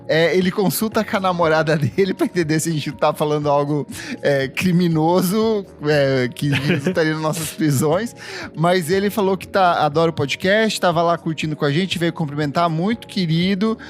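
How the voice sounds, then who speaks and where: A man from Brazil co-hosting a casual music podcast, reading listener comments aloud and teasing. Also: fairly high pitch. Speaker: podcast co-host. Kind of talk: casual chat.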